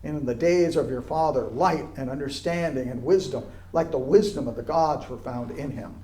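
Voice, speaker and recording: A man reading scripture aloud in a solemn style, with deliberate, declamatory phrasing. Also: medium pitch, dark tone. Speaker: scripture reader. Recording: echoey, roomy sound.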